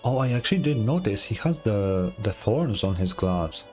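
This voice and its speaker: A man's voice: fairly low pitch, lively intonation, normal speed, a little mellow, faint music behind. A man giving casual, informal live game commentary as a streamer, often incredulous and sweary.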